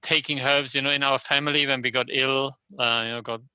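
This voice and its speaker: An older man from Germany with a German accent, answering interview questions reflectively and haltingly, often restarting and checking in with "yes?". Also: mid-range voice, level tone, bright, forceful tone, clear, resonant tone, no echo, lo-fi sound. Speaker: interview guest, Chinese medicine practitioner and scholar.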